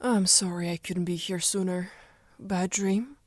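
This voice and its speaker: A woman voicing a calm, cryptic dream spirit in an animated comic dub, speaking in a measured, lightly teasing manner. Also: fairly low pitch, heavy background noise, natural voice. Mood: calm, coy.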